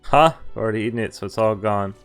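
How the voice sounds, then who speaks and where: A man's voice: on the low side, fast. Male streamer reading aloud over game music. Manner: reacts with laughs and mild swearing between read lines. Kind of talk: reading visual novel text aloud with casual asides. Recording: music behind the voice.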